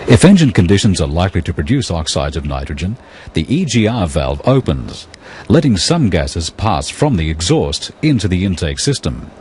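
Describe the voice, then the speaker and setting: A man reading scripted technical narration for an educational film in a measured, explanatory, impersonal manner. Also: low voice, very animated, a little mellow, slight background noise.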